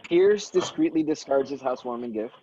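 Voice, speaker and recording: A man's voice: mid-range voice, lively intonation, a little fast, dull audio, very noisy. A man reading scripted stage directions as narrator of a table read.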